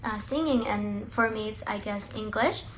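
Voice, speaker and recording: A young woman with a Russian accent, speaking English as a second language in a casual livestream chat, earnest and encouraging with some halting phrasing. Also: mid-range voice, a little hiss or hum, poor audio.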